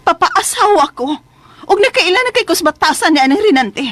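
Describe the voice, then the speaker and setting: A woman's voice: very high voice, sing-song, brisk, a bit bright, no room sound, full character voice. A woman acting in a Philippine radio drama as a troubled young wife, defensive and plaintive, with a Filipino accent.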